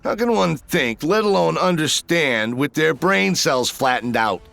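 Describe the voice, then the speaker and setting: A defiant sci-fi army major, talks back with scorn. The voice is gritty with fry, bright and lively in pitch, combative in the manner of a game hero. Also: male voice, unhurried, faint music behind, full character voice. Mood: scornful, defiant. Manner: sarcastic, tough, combative. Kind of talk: video game acting.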